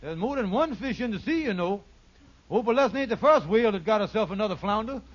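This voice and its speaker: An actor playing a scheming, fast-talking con man in a 1940s radio sitcom, with blustering, stammering, folksy ungrammatical speech. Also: high voice, expressive. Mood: smooth-talking. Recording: muffled vintage radio recording.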